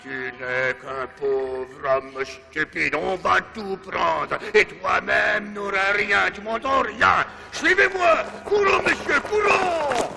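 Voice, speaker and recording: A man's voice: sits high, slow pace, vocal fry, hardly any pauses, pushed and bright, full character voice. A man playing a greedy, threatening judge with a pompous, domineering manner in a French cartoon dub. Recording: music behind the voice.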